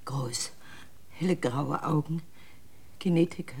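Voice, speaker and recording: An actress in a radio drama playing an anxious mother searching for her grown son, halting and breathy. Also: low voice, a little mellow, full character voice. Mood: hushed, hesitant. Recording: clear outdoor ambient noise.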